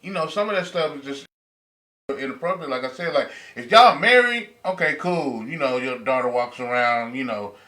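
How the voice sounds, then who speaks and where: A man's voice: mid-range voice, lively intonation, moderate pace, bright, forceful tone. A man talking casually as a podcast co-host in an informal, slangy way. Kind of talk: casual chat.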